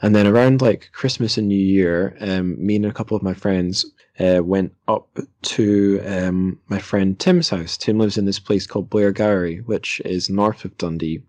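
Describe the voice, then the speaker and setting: A young man with a deep voice talks casually about books as a podcast host. He wanders through anecdotes with hesitant phrasing, recorded close and dry with almost no room sound. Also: steady tone, normal speed, hardly any pauses, a little mellow, dry recording. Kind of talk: casual book discussion, anecdotal.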